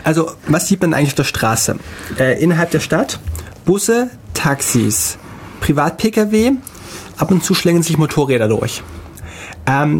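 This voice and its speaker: A man co-hosting a casual podcast, telling travel stories in a halting way with many fillers. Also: fairly high pitch, a little hiss or hum. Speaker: podcast co-host. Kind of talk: casual chat.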